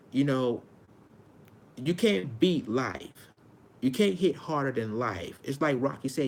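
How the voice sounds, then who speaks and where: A man in his forties with a high-pitched voice, speaking straight to his viewers in a loose, earnest monologue. He circles back and restarts his phrases as he goes, over a faint low rumble. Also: a little mellow. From Arkansas, United States. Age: 40s. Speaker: vlogger.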